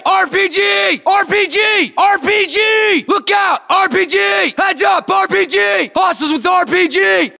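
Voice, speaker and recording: A man's voice: very high-pitched, unhurried, creaky, hardly any pauses, bright, forceful tone, shouting, full character voice. A man playing an intense US Marine infantryman in a military shooter game, barking urgent, clipped battle callouts. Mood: alarmed.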